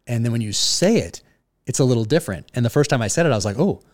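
A man who is an author, chatting casually in an interview and telling relaxed stories with plenty of fillers. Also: mid-range voice, fast, dry recording, clean studio sound. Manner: relaxed storytelling with frequent fillers.

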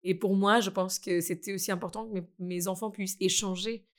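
A woman's voice: mid-range voice, steady tone, a little fast. A middle-aged woman from India, talking hesitantly with many fillers as an interviewee about founding a school. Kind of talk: conversational interview.

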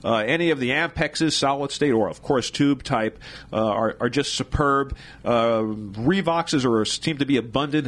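A man speaking as a guest expert, informal and colloquial, in casual conversation. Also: medium pitch. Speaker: guest expert, tape machine repairer.

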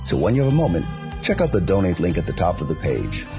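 Deep-voiced male podcast host over music. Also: huge pitch swings, quick pace. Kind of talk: scripted podcast introduction and sign-off. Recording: dull lo-fi audio with music.

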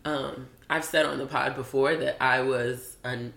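Raspy, gravelly-voiced laid-back woman. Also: extremely low pitch, steady tone, measured pace, a bit bright, echoey room. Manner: laid-back, laughing often. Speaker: podcast co-host. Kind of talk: casual chat.

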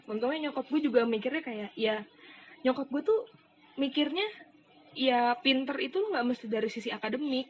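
A woman's voice: high-pitched, moderate pace, darkish tone, slight background noise, poor audio. A young woman, chatting casually and telling stories in slangy speech. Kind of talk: casual chat.

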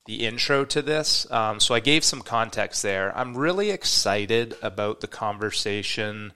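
Bright, clear-voiced podcast host man. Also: lowish voice, lively intonation, unhurried. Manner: upbeat, enthusiastic, slightly rambling. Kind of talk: podcast intro and interview.

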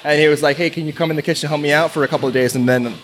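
A man's voice: on the high side, fairly even intonation, racing. A man working at an ice cream shop, answering interview questions casually in a kitchen. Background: kitchen background noise.

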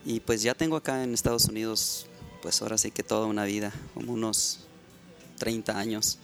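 Man, middle-aged, Mexican accent, radio guest, earnest and devout, soft music behind. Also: lowish voice, steady tone, brisk, vocal fry. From Mexico, Baja California. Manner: earnest, heartfelt, devotional. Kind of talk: live radio interview.

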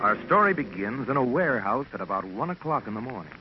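A man's voice: mid-range voice, sing-song, fast, loud music behind the voice. An American man working as a radio announcer, presenting a sponsored drama in 1940s style. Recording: muffled, noisy 1940s radio recording.

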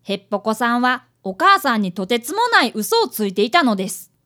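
Boy apprentice detective, about eleven: high voice, swooping intonation, earnest, well-mannered radio drama delivery. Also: very animated, brisk, pushed and bright. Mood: narrating. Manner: earnest, polite, exclamatory. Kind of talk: radio drama acting and narration.

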